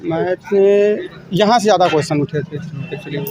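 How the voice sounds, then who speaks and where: A man's voice: on the high side, normal speed. A young man answering interview questions casually, with hesitant starts and repeated phrases. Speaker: exam candidate being interviewed. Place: outdoors on a busy street. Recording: outdoor crowd and traffic noise.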